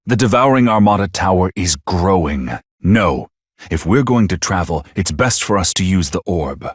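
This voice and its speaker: An actor playing a polite but scheming, secretly crazed video game villain, with formal, articulate, theatrical phrasing. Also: male voice, low voice, breathy edge, no echo, studio quality, full character voice.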